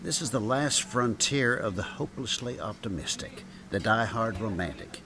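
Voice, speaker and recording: An actor playing a wry, big-hearted restaurateur and ex-bartender in a comedic radio drama, teasing and theatrical, full of endearments. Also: male voice, fairly low pitch, animated, normal speed, pushed and bright. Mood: wry, philosophical.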